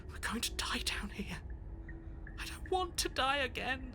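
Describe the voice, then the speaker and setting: An actor voicing a nervous, fearful inner voice in a video game, questioning and doubtful. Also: male voice, very high voice, big pitch swings, measured pace, bright, forceful tone, in a whisper, heavily acted. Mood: frightened. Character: anxious, doubting male inner voice, jittery and fearful.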